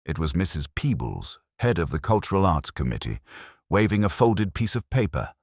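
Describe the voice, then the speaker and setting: A man reading scripted narration of a mystery story as narrator, in a measured, literary way with wry understatement. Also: low voice, very animated, dry recording, clean studio sound.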